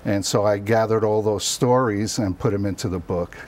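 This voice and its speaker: A middle-aged man, originally from Cuba, speaks in a fairly low voice. He tells personal stories as a guest in a studio interview, casual and somewhat halting in his phrasing. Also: fairly even intonation, darkish tone, a little hiss or hum. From born in Cuba, raised in the New York area from childhood.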